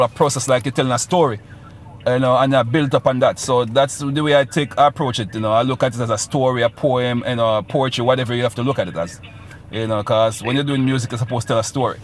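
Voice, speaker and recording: A man's voice: medium pitch, a little hiss or hum. A man with a Jamaican accent, a guest musician chatting in a radio interview, boastful and rambling with patois touches.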